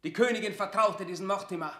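Proud scheming lord in an old stage-verse radio play, high tense voice, forceful declaiming. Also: male voice, fast, roomy sound. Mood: accusing. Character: stern, calculating courtier lord, proud and accusing. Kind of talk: classical German stage-verse radio drama.

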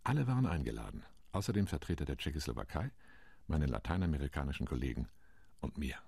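An actor playing a Latin American UN delegate recounting committee intrigue in a radio play monologue, with a measured, ironic telling. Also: male voice, extremely low pitch, sing-song, gravelly, darkish tone, husky, dry recording, full character voice.

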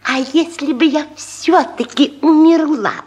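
Vain fretful wife, high-pitched, pouty, self-pitying, needling, seventies radio comedy. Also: female voice, unhurried, darkish tone, soft background music. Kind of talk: 1970s radio comedy sketch acting.

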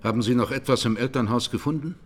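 An actor playing a methodical presiding judge in a radio courtroom drama, questioning formally and insistently. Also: male voice, mid-range voice, fast, natural voice. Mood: probing. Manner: formal, terse, probing.

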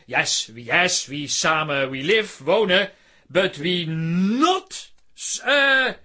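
A flustered Dutch man in a radio play, bright and very lively in pitch. He stammers and halts, anxious to seem manly, and can tumble into broken English. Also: slow pace, heavily acted. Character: flustered, insecure Dutch man insisting on his manliness. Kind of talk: radio play comedy acting.

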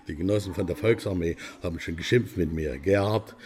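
An actor in a radio drama playing a self-satisfied, boastful East German painter with a declamatory manner. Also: male voice, low voice, moderate pace, a little mellow, close to an everyday voice. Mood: jovial, proud. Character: boastful East German painter, jovial party-loyal man.

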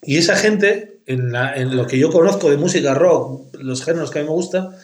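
Man, fairly high voice, slangy, argumentative casual talker, roomy echo. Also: moderate pace. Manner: rambling, argumentative, full of slang fillers. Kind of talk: casual music chat.

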